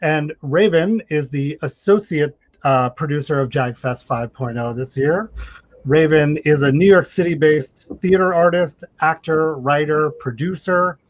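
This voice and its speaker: A man's voice: fairly high pitch, a little slow, no room sound, muffled recording. A man speaking as moderator, introducing guests at an online event. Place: online video call.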